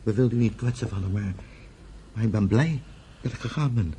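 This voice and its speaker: An actor plays a dutiful grown son in a radio play, quiet and hesitant. His voice is very low and mellow, with faint noise behind it. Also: brisk, lightly acted. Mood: apologetic. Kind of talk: subdued radio play acting.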